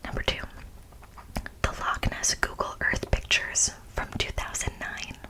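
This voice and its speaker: A woman whispering as an ASMR host, softly reading from a script with casual asides. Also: a little slow, poor audio. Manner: soft, unvoiced whispering.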